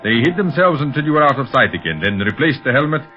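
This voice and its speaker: An actor playing a sinister criminal mastermind in a 1930s radio serial, speaking in a measured, imperious, calculating way. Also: male voice, mid-range voice, very animated, natural voice. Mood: cold, suspicious. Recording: muffled old 1930s radio recording, faint music.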